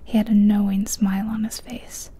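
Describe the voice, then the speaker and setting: A woman whispering a first-person story in a soft, steady ASMR reading. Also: medium pitch, normal speed, husky.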